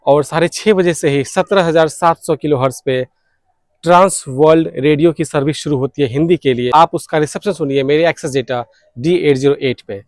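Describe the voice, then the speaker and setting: A man's voice: a little high, a little fast, no echo. A man narrating informally as a vlog host, explaining things step by step. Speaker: shortwave radio vlogger, host.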